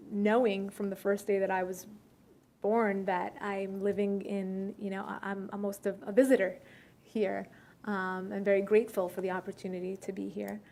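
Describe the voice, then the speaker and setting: A young adult woman answering questions at a luncheon, speaking in a measured way with filler pauses and restarts. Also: medium pitch, darkish tone. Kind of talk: conversational Q&A talk. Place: luncheon room.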